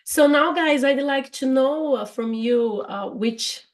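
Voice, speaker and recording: A woman from Brazil with a Brazilian Portuguese accent, co-hosting a casual English lesson podcast and laughing often between her points. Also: high-pitched. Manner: laughs often, slow and careful. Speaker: English teacher and co-host.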